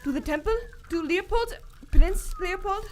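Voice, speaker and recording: A woman's voice: very high voice, expressive, unhurried. A woman playing a proud, loyal captive adventurer in live radio theater, formal and defiant. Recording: live stage recording, faint background noise.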